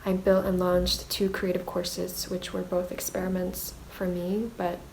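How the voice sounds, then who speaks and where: A woman's voice: fairly low pitch, completely flat delivery, a little slow, gravelly, a little mellow, a little hiss or hum, poor audio. A woman whose native language is Mandarin, speaking in a reflective, self-conscious and hesitant video diary as an artist vlogger.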